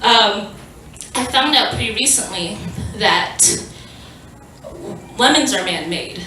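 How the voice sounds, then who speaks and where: A woman's voice: mid-range voice, expressive, slow pace, pushed and bright, a little hiss or hum. A young adult woman doing a stand-up set, rambling and self-deprecating with lots of filler words and restarts. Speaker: stand-up comedian. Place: small venue stage. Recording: echoey room, mic from a distance.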